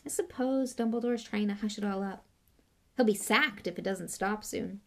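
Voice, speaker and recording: Lady doing a snotty rich schoolboy bully for an audiobook, scornful, impatient, bit of creak in it. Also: medium pitch, moderate pace, natural voice. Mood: thoughtful, disdainful. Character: spoiled, sneering, arrogant schoolboy bully. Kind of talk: audiobook character voice.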